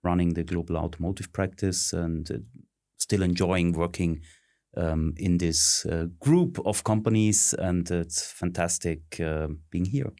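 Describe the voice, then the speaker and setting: A middle-aged man with a very low voice talks through his career. He pauses often, filling gaps with ums and uhs. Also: warm tone. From Switzerland. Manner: halting, many ums and uhs. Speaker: interview guest, search consultant. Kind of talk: conversational interview.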